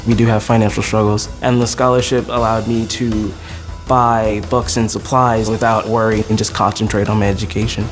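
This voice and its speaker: Mid-pitched man with music behind. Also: level tone, moderate pace. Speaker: graduating student. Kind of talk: interview testimonial. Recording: music bed underneath.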